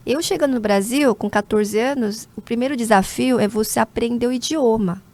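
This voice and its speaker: Measured Korean-born woman in her forties. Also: a little high, darkish tone. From South Korea. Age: 40s. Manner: measured, with frequent hesitation fillers. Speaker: interview guest, corporate lawyer. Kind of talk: conversational interview.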